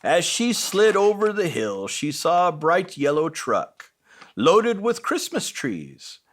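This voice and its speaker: A man tells a children's bedtime story in an expressive reading style. His voice sits a little high, swings widely in pitch and moves at a slow, measured pace.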